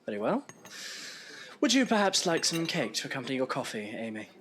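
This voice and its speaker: An actor playing a polite, hesitant Victorian gentleman who probes softly in an audio drama. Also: medium pitch, big pitch swings, fairly quick. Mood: gently probing. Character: Arthur Clennam, courteous, hesitant Victorian gentleman.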